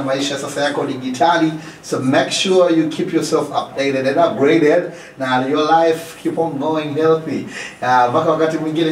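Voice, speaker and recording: A man's voice: on the high side, slight background noise. A man with an East African accent hosting a radio show, stretching his fillers and repeating phrases for emphasis. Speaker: radio host. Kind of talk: radio show talk mixing English and Swahili. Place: small radio studio. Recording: roomy echo, distant from microphone.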